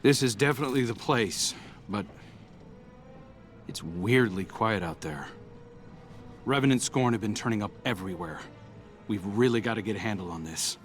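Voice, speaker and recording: An actor playing a weary, guilt-ridden, earnest hero in a video game, with a hesitant, naturalistic delivery. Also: male voice, fairly low pitch, animated, normal speed, pauses often, faint music behind, natural voice. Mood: wary. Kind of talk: dramatic video game acting.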